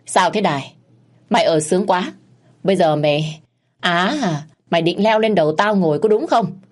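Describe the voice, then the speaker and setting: A gruff older father-in-law scolds sharply, put on by a woman narrator. The voice is low and husky. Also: normal speed, natural voice. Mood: scolding.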